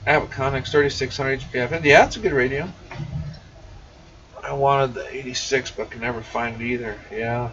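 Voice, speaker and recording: A man's voice: mid-range voice, moderate pace, fairly bright tone. A man hosting a casual shop livestream, reading and answering viewer comments in a folksy, informal way. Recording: muffled, distant mic with faint noise.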